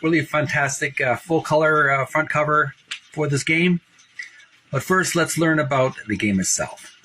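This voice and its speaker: Male host reading a book aloud, bright, a little high, easygoing with lots of "uh"s, faint noise behind. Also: rough recording. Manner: relaxed, many fillers, reads quotes aloud. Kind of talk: casual book-reading video.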